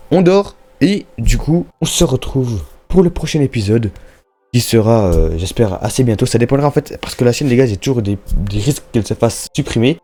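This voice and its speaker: A man's voice: on the low side, mellow. A young man giving casual, slangy Minecraft let's-play commentary, chatting and thinking aloud as he plays. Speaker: gaming YouTuber.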